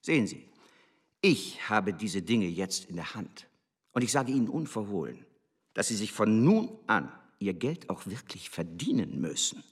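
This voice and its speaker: A scornful male embassy official in a radio drama. His low voice is husky, and he lectures with haughty, sneering contempt. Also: very animated, unhurried, full character voice. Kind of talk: German radio drama acting.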